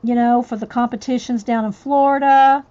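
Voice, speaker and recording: A chatty woman with a drawl colors and talks at once, her voice sitting a little high and wandering off into asides to her viewers. The sound is dull and lo-fi, like a cheap livestream mic. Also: fairly even intonation, normal speed, dark tone. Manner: chatty, rambling, reads chat messages aloud and answers viewers. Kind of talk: casual chat while coloring on a livestream.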